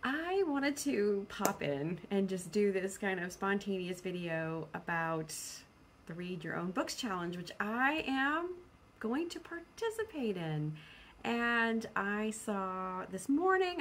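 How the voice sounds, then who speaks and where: A woman's voice: medium pitch, slight background noise. A woman chatting casually and spontaneously as a book vlogger, rambling in a playful, self-deprecating way.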